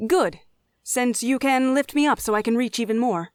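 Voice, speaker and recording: High-voiced young druid woman, played by an actress, eager and oblivious, fantasy audio drama, dry close sound. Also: normal speed, dry recording, close to an everyday voice. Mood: breezy.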